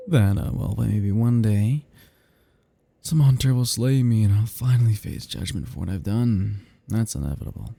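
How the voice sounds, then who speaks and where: A man performs a brooding immortal vampire in an intimate ASMR roleplay. The voice is very mellow and slow, full of deep creak, slipping into breathy whispers with a seductive, possessive menace. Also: expressive, dry recording, full character voice. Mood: melancholy, bitter. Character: seductive, melancholy, possessive male vampire, self-loathing immortal predator.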